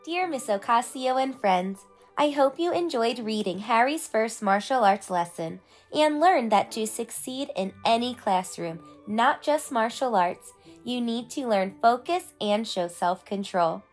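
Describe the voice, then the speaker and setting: Female storyteller for kids' read-aloud, high voice, scripted picture-book narration over soft music. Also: expressive, a little slow. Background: faint music.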